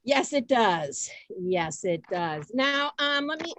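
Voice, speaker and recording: A woman's voice: on the high side, big pitch swings, slow, fairly bright tone, dry recording. A woman informally hosting a live online event, flustered and often repeating her phrases. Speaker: host. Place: indoors, on a video call. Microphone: video call.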